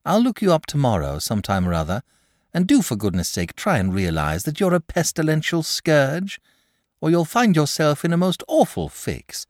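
A man's voice: a little high, big pitch swings, moderate pace, darkish tone, no room sound, clean studio sound, pitched above the performer's own voice. A man voicing a frank, sensible young boy in a storybook, speaking politely and earnestly. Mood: exasperated. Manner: matter-of-fact, polite, earnest.

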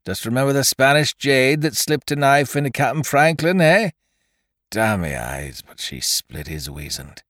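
A man's voice: mid-range voice, very animated, unhurried, a bit bright, dry recording, clean studio sound, voice pushed up. A man voicing a drunken pirate gunner, teasing and warning in rustic archaic dialect for an adventure audiobook. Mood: tipsy warning.

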